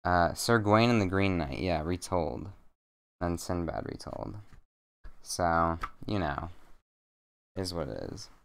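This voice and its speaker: A young man streaming, chatting casually and reading a bedtime story aloud in a deadpan, monotone way with dry jokes. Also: low voice, slow, gravelly, long pauses. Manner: self-described monotone, deadpan and joking.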